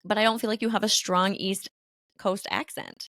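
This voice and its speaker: A woman's voice: mid-range voice, steady tone, normal speed, fairly bright tone, dry recording, clean studio sound. A woman from the Midwest hosting a casual podcast, laughing often as she talks. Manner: giggly, laughs often between lines. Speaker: podcast host.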